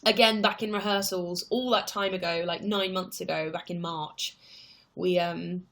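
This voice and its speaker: A woman's voice: on the low side, steady tone, moderate pace, fairly bright tone. A woman in her twenties, chatting casually on a livestream, reading viewer questions aloud and answering at rambling length. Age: 20s. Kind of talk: casual live chat.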